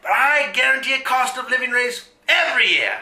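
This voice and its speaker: A man's voice: extremely high pitch, sing-song, fairly quick, bright, forceful tone, heavily acted. A man playing a pompous, gleefully evil, scheming villain in a parody of a western radio serial. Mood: indignant, pompous. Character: vile scheming melodramatic mustache-twirling male western villain. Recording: roomy echo.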